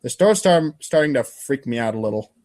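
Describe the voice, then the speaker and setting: A man's voice: mid-range voice, lively intonation, fairly quick, a little mellow, natural voice. Brief: man as teenage cool kid in a webcomic drama, casual, rambling, slangy, covering nerves, mid-range voice. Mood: uneasy, rambling. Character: aloof slangy teenage boy hiding his nerves.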